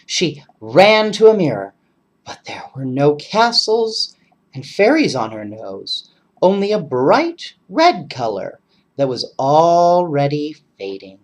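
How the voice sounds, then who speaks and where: A bedtime story reader narrating in an animated storybook style, with chatty asides to children. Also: medium pitch, takes it slowly.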